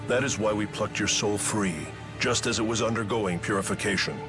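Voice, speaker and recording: A man's voice: on the low side, normal speed, over music, natural voice. An actor playing a grave, steadfast, stoic male elemental spirit in a video game, with a formal, stately delivery. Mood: resolute. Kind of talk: dramatic video game voice acting.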